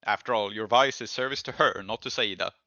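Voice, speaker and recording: A man with a bright, forward voice narrates a tabletop game as game master, improvising scenes as he goes. The sound is dry and close, a little dulled in the recording. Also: on the low side, a little fast, dry recording, dull audio.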